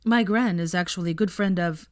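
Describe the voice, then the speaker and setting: Woman narrator doing a kind, slightly awkward young guy in a story, gentle n measured, dry close sound. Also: lowish voice, no echo, natural voice. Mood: warm, reassuring. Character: mild, kindly, slightly awkward young man, supportive friend. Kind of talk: fan fiction audiobook character voice.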